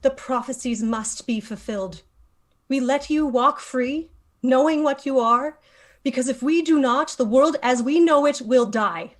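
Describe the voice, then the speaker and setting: A woman acting a stern female leader of a mystic order. Her voice is fairly high, measured and full of authority, in a fantasy script read aloud. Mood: coolly commanding. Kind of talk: dramatic screenplay table read.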